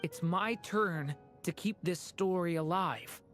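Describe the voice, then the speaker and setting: An actress playing an earnest young storybook character in a video game. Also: female voice, fairly low pitch, slow, faint music behind. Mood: hesitant, resolved. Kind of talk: scripted video game voice acting.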